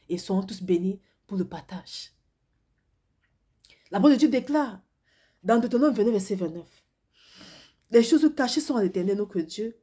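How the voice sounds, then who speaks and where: A woman's voice: mid-range voice, warm tone, slightly rough. A woman preacher giving a devotional sermon and testimony while congested with a cold, earnest and repetitive, often restarting her phrases. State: has a cold and flu, congested. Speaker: preacher, self-described prophetess.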